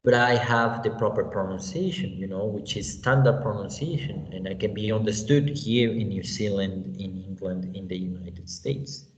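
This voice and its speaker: A man with a Mexican accent teaching an online English class, unhurried and often checking in with "you know" and "okay?". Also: lowish voice, fairly even intonation. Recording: echoey, roomy, dull lo-fi sound with faint hiss.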